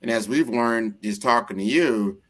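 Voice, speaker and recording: A man from the United States with a fairly low voice, speaking conversationally as the host of an interview. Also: normal speed, a bit bright. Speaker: show host.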